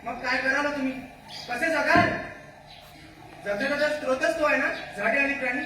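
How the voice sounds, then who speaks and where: Man acting a stern high-voiced forest tribesman, echoey. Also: fairly even intonation, moderate pace, sharp tone. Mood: threatening. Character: stern, distrustful forest tribesman guarding jungle and captives. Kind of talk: amateur stage play acting in Marathi. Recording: very echoey noisy hall, far from mic.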